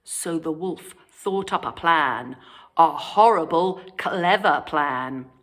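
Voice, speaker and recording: A woman's voice: low-pitched, slow. A British woman narrating a children's story expressively, speaking as if to young children. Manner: animated, addressing young children. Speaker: storytime reader.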